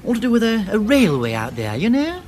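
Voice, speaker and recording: An affable, easily flustered English upper-class financier in a radio play. He blusters in slang with wildly swinging pitch, over clear background noise. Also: huge pitch swings, fairly quick, a little mellow. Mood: blustering, gossipy. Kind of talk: radio drama acting.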